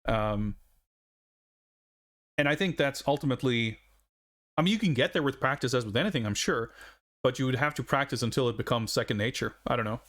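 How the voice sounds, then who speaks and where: A man's voice: mid-range voice, a little fast, pauses often, fairly bright tone. A man in his forties from Sweden, a native Swedish speaker, chatting casually on a game stream in a rambling, reflective way, chuckling often. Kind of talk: casual live-stream chat.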